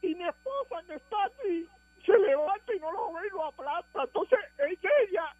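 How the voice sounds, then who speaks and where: A Puerto Rican man calling into a radio show, telling a joking story with lively delivery. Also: extremely high pitch, moderate pace, no room sound. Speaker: caller. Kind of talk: call-in radio chat. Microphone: phone. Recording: dull phone-line call with music.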